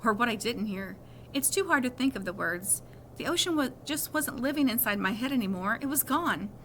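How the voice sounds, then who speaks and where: A woman's voice: a little high, lively intonation, brisk, fairly bright tone, a little hiss or hum, rough recording. A woman reading a children's novel aloud in a storytelling style as a first-person narrator.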